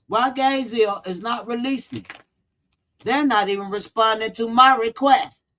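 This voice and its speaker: A man's voice: extremely high pitch, moderate pace, sharp tone. A man giving casual, blunt livestream commentary, swearing freely and repeating points for emphasis. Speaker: true-crime commentary livestream host.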